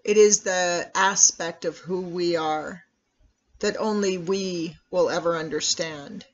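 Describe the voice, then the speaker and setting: Slow, dull-sounding meditation guide. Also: mid-range voice, steady tone. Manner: measured, instructional, with long pauses. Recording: dull, lo-fi recording.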